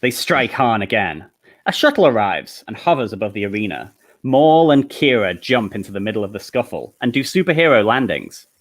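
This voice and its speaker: A man's voice: lowish voice, sing-song, a little fast. A man reading screenplay stage directions as narrator of a comedy table read, with an amused manner.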